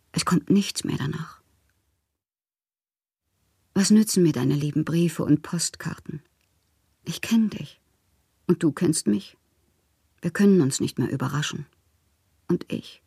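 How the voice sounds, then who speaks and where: Casting: lonely bohemian woman writer for a literary radio monologue, low husky register, wistful and theatrical, often whispering, close dry sound. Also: dark tone, no room sound, heavily acted. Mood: lonely, pleading.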